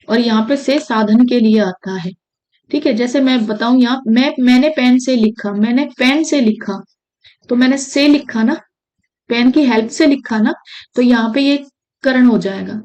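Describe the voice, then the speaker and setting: Higher-voiced woman teaching exam grammar. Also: a little mellow. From India. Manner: repeats key phrases, checks in with "thik hai". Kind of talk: exam-prep grammar lesson.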